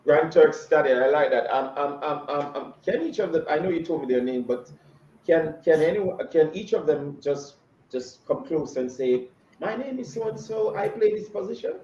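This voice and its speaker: A man with a high, very lively voice hosts over a video call, cheerful and full of ums. His sound bounces around an echoey room. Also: normal speed, muffled recording. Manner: enthusiastic, hesitant with many ums. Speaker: host. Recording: echoey room, faint noise.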